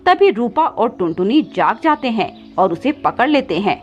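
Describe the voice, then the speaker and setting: A woman narrates a children's story in a fairly high voice. It is scripted storytelling, with music underneath and a slightly dull recording. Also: very animated, moderate pace.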